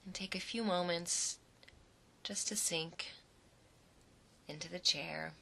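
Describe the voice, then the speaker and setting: A woman guides a relaxation exercise in a low voice with a noticeable creak. She takes her time, letting short, soothing phrases settle between pauses. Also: steady tone, sharp tone. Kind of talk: guided imagery meditation.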